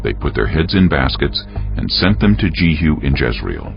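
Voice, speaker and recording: A man giving solemn, measured, scripted dramatic narration of scripture as a storyteller. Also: rumbling low, big pitch swings, creaky. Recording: dull audio with music underneath.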